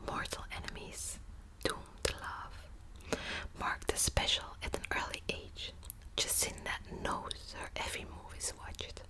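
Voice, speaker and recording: A woman's voice: unhurried, poor audio. A woman whispering close to the mic in an ASMR book video, hesitant with many ums and restarts, also reading passages aloud. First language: Dutch. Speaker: ASMR creator.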